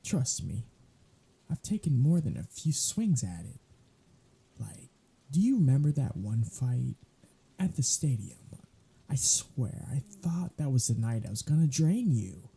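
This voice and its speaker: Male actor as sardonic vampire, very husky, lively swooping intonation, flippant, world-weary, ASMR roleplay. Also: medium pitch, a little slow, heavily acted. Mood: wry. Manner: sarcastic, flippant, conversational. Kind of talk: ASMR audio roleplay acting.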